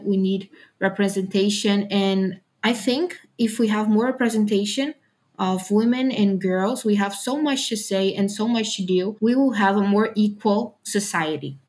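Teenage girl, from Brazil, earnest, measured, guest. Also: medium pitch. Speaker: podcast guest. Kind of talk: interview answers.

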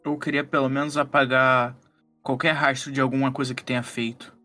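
A man's voice: medium pitch, little pitch movement, brisk, bright, forceful tone, soft background music, lightly acted. A man playing a skeptical, analytical psychologist detective in a horror roleplaying game, talking casually with a Brazilian accent. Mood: reasoning. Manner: casual, reasoning.

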